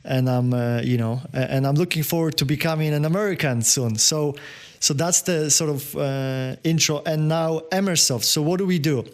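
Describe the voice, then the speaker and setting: A man from Poland, answering interview questions as a podcast guest in a halting way, full of fillers and restarts. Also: on the high side. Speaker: podcast guest, business owner.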